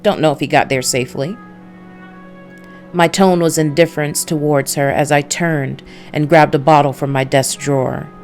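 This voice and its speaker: A woman voicing a weary, hard-boiled male detective, narrating a noir visual novel in a measured, brooding way. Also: deep voice, steady tone, a little mellow, faint music behind. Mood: guarded.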